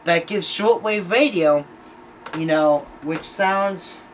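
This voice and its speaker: A man's voice: high voice, slow, background noise. A man giving a casual, unscripted monologue as a hobbyist host, informal and opinionated in his way of talking. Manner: informal, opinionated, mildly swearing. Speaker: shortwave radio hobbyist host.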